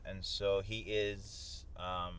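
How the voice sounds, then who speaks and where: A man's voice: deep voice, level tone, drawn-out words, a bit bright. A man talking in a casual, conversational way while riding in a car. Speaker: co-host. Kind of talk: casual chat. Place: inside a car on the road. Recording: clear car and road noise. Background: vehicle and outdoor urban noise.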